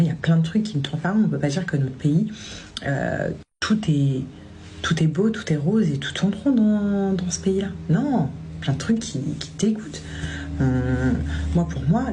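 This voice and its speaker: A woman's voice: low voice, mellow, a little hiss or hum. A woman from France, a vlogger giving her opinions casually in an outspoken, emphatic way. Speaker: social media vlogger.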